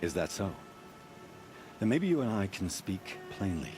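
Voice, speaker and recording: A very low-voiced, secretive keeper of hunting grounds, talks in a measured, confiding way. It is game dialogue with music clearly playing underneath. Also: male voice, big pitch swings. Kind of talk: video game voice acting.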